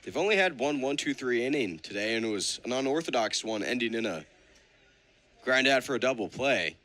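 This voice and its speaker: A man giving measured, analytical color commentary on a live radio baseball broadcast. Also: medium pitch, animated, bright, forceful tone.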